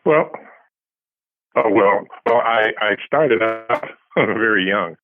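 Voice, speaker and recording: A man's voice: lowish voice, lively intonation, slow pace, slight background noise. An older man, a guest author in an interview, talking casually and telling stories in a conversational way over a narrow phone line.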